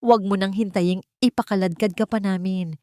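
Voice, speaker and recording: A woman's voice: medium pitch, fairly even intonation, fairly quick, darkish tone, dry recording, studio quality, close to an everyday voice. A woman acting a haughty, cruel older matriarch. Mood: threatening. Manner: snobbish, cutting, commanding.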